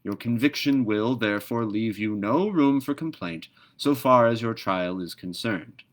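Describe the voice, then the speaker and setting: A man's voice: lowish voice, unhurried, voice pushed up, close to an everyday voice. A man voicing a solemn animal court spokesman in a storybook, formal and declamatory. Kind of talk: storybook read-aloud character voice.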